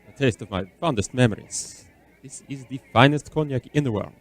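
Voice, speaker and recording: A man acts as a courtly, enigmatic stranger in a radio play. He speaks at a middling pitch, measured and formal, as though keeping a secret. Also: lightly acted. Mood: cool, wistful. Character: mysterious, courtly, ageless stranger savoring cognac. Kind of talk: radio drama acting.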